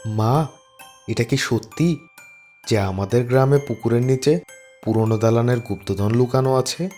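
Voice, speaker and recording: An actor voicing a determined, clever schoolboy in a cartoon. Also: male voice, mid-range voice, unhurried, warm tone, faint music behind, lightly acted. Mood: eager.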